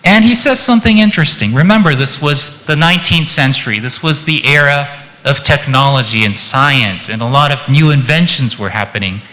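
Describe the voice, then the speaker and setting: A Japanese man with an American-influenced accent giving a chatty, lively conference presentation and joking with the audience. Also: medium pitch, brisk, in a large hall, rough recording. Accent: American-influenced English. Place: conference hall.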